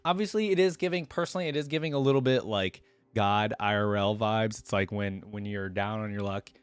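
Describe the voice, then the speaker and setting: A man hosting a reaction video, giving casual, informal commentary and thinking out loud with enthusiasm. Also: lowish voice, fairly quick, clear, resonant tone, no room sound. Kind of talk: casual reaction commentary with some reading aloud.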